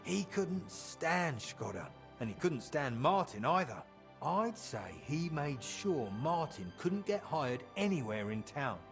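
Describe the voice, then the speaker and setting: An actor voicing a chatty medieval townsman in a video game, telling colloquial, animated tales of old times with fondness. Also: on the high side, takes it slowly, soft background music, exaggerated voice. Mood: wry, reminiscing. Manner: animated, colloquial storyteller acting out quotes. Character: chatty medieval townsman reminiscing fondly about an old drinking friend. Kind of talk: storytelling in video game dialogue.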